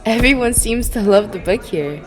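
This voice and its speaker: A woman playing a kindly, concerned Victorian wife and hostess in an audio drama, gentle and chatty. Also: a little high, lively intonation, moderate pace, faint music behind, a faint crowd, natural voice. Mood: polite.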